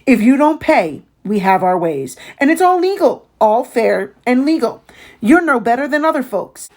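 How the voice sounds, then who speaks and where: A woman's voice: on the high side, very animated, moderate pace, a little mellow, pitched above the performer's own voice. A woman voicing a pompous, bullying male tax official in a storybook, with a demanding, authoritative manner. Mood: threatening. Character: short, pompous, bullying male tax man, city slicker. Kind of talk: storybook read-aloud character voice.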